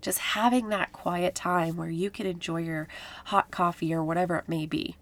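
A woman's voice: lowish voice, fairly even intonation, moderate pace. A woman in her thirties from Canada hosting a podcast, talking casually and encouragingly about self-care, a little nasal from a cold. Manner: warm encouraging self-help talk with filler likes. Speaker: podcast host.

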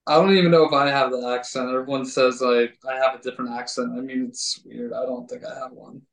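A man's voice: mid-range voice, quick pace, gravelly. Man, 30s, easygoing host, echoey room, off-mic. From Delaware County, Pennsylvania. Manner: relaxed, chatty, trails off mid-sentence. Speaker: podcast host. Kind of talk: casual interview chat. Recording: roomy, from a distance.

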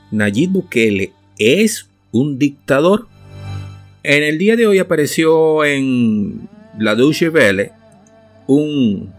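A man who grew up in Venezuela, hosting a solo opinion podcast and talking it through off the cuff, pausing and restarting as he hunts for words. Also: medium pitch. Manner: unscripted and searching, with restarts and filler pauses. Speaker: podcast host.

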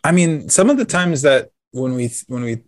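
A man's voice: medium pitch, lively intonation, normal speed, no room sound. Male co-host for casual podcast talk. Mid-range voice, dry, close-miked sound. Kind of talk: casual chat.

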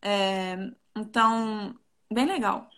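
A woman's voice: medium pitch, slow pace, fairly bright tone, clean tone. A woman, chatting casually and a little giggly as an interview guest on a video call. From Brazil, partly raised in South Korea. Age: 20s. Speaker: interview guest, professional flautist.

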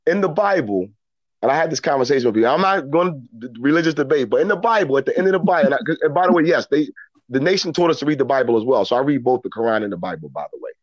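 A man speaking as a podcast guest in casual, reflective conversation, earnest and halting, often repeating his words. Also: medium pitch, expressive, dry recording, highs cut off.

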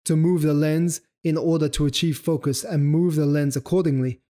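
A man's voice: a little high, warm tone. A young man hosting a solo photography podcast, explaining loosely with fillers and self-corrections, his throat sore and his voice starting to go. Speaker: podcast host.

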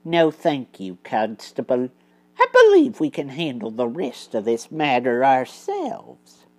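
A woman voicing a stern, commanding male mouse detective in a storybook, speaking with hushed, measured authority. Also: a bass voice, huge pitch swings, voice pushed down, exaggerated voice. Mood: calm, assured.

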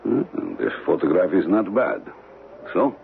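An actor playing a shady, calculating smuggler in a radio mystery, speaking deliberately and formally with dramatic pauses. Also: male voice, deep voice, expressive. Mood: guarded, menacing. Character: shady, calculating male smuggler of stolen antiquities. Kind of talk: old-time radio mystery acting. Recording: muffled, noisy old radio broadcast recording.

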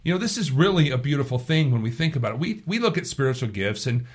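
A man leading a devotional sermon and prayer, his voice hoarse from allergies, talking earnestly and often repeating words as he gathers his thoughts. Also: mid-range voice, sing-song, quick pace. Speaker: pastor.

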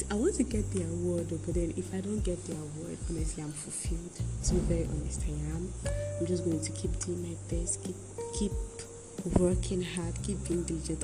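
A young woman talks low and hushed on her podcast, slipping into whispers now and then. Her voice sits on the lower side, and clear music plays behind her. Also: rough recording. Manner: soft, hushed late-night talk, often whispering; earnest and grateful, with laughs. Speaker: podcast host. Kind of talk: casual solo podcast chat.

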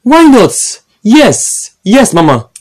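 Actor as earnest young Nigerian street hustler. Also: male voice, high voice, huge pitch swings, slow pace, exaggerated voice. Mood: candid. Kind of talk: radio drama acting with Pidgin.

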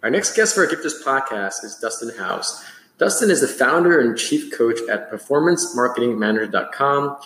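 Enthusiastic podcast host, echoey sound. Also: male voice, medium pitch. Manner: enthusiastic, talks in long winding questions. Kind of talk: podcast interview. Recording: roomy echo.

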